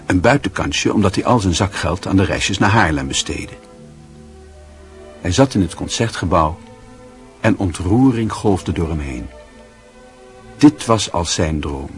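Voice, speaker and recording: A man narrating a radio play as a grave, literary storyteller. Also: low-pitched.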